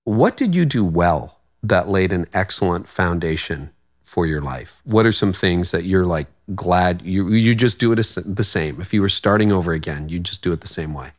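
A man's voice: deep voice, animated, normal speed. A middle-aged man hosting a podcast, asking searching questions with some restarts. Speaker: podcast host. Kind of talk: interview questions. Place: small room, indoors. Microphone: studio microphone on boom arm.

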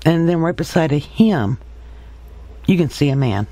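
A man with a husky, slightly breathy voice talks through one photo after another. He speaks slowly and hesitantly, stopping and restarting often as he points out what he sees. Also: fairly high pitch, expressive, dark tone. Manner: slow, halting, hesitant with many pauses. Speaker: paranormal investigator. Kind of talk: narrating over still photos.

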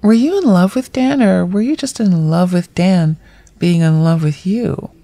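An actress playing a blunt, wry, loyal friend in a naturalistic audio drama, speaking casually. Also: female voice, on the low side, measured pace, warm tone, no echo. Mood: frank, challenging. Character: blunt, foul-mouthed, loyal woman friend, homebody.

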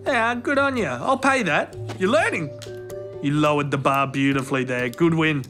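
A man voicing a cheeky, sarcastic floating robot sidekick, with a crude, teasing, deadpan way of talking. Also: a little high, moderate pace, soft background music. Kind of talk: comedy web series acting.

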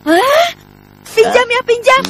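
A woman's voice: extremely high pitch, slow pace, full character voice. A woman voicing a whiny, eager schoolboy of about ten in a dubbed children's cartoon. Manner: excitable, complaining. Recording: music behind the voice.

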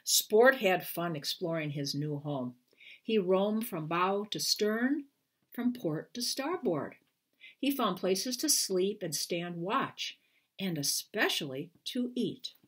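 A woman's voice: on the low side, expressive, takes it slowly, breathy edge. A woman in her sixties reading a children's storybook aloud expressively, with careful, emphatic phrasing. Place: small furnished room at home, indoors.

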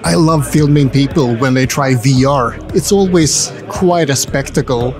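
A man's voice: medium pitch, measured pace, over music. A man hosting casual interviews at a busy indoor event, asking playful, jokey questions into a handheld mic. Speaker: host interviewer. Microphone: handheld mic held out to guests.

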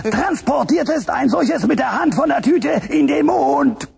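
A man's voice: extremely high pitch, expressive, a little slow, creaky, a little mellow, heavily acted. An actor playing a cheerful, chatty male puppet host in a children's radio play. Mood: cheery. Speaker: show host.

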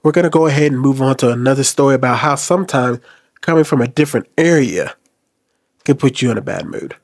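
A man's voice: medium pitch, lively intonation, fast talker, no room sound, studio quality. A man in his thirties hosting a reflective commentary, talking earnestly to the camera from a small room. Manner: earnest, advice-giving. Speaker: host. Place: small decorated room indoors. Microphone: desk mic in front.

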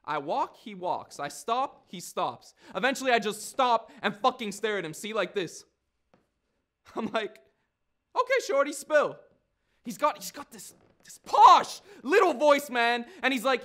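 Casting: male actor as streetwise young man in his twenties, brash but wounded, high-pitched and animated, slangy stage monologue. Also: measured pace, heavily acted. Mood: incredulous, agitated. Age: 20s. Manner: slangy, swearing, insistent, with repeated phrases. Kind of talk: theatrical audition monologue.

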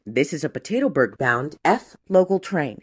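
A woman's voice: low-pitched, sing-song, moderate pace, darkish tone, slightly rough. A woman giving scripted, formal train announcements in segmented phrases, like an automated transit system.

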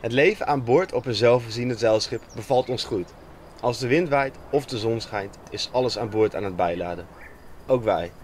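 A young Dutch man, a sailing skipper, reading a calm, matter-of-fact scripted voice-over. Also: medium pitch, normal speed, a little hiss or hum. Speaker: skipper narrating a sailing documentary. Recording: faint music under the voice.

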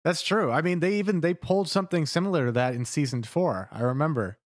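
A man's voice: fairly high pitch, fast talker, no room sound, clean studio sound. A man chatting casually as a podcast co-host.

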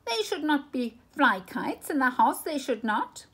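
A woman's voice: sits high, very animated, a little slow, a bit bright, pitched above the performer's own voice, heavily acted. A woman voicing an alarmed, bossy pet fish from a storybook, exclaiming in a fretful, urgent way. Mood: scolding, alarmed.

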